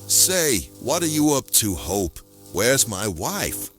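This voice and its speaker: A man playing a hapless, hard-boiled character with wry, slangy deadpan delivery, narrating and acting in a noir-style audio play. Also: medium pitch, slow, faint music behind. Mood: indignant.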